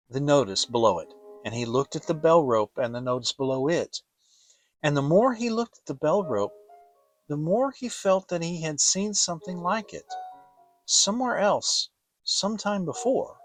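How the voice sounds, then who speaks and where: A man's voice: fairly high pitch, lively intonation, moderate pace, a bit bright, faint music behind. A man narrating a children's story as a dramatic audiobook reading.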